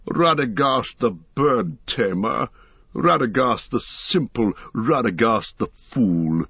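A man voicing a proud, scornful old male wizard who speaks in a slow, sneering way. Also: lowish voice, vocal fry, breathy edge, dry recording, muffled recording, full character voice.

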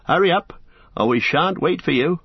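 A man voicing Merry, a brisk, bossy young male hobbit who organizes his friends. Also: fairly high pitch, breathy edge, no echo, voice pushed up. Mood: scolding. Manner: lively, bustling, commanding. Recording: slightly muffled dry recording.

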